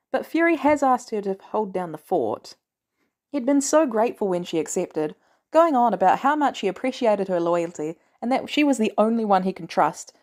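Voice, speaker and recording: A woman reading a fan fiction story aloud as a podfic narrator, with expressive storytelling. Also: mid-range voice. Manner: expressive storytelling, animated delivery. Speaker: podfic reader.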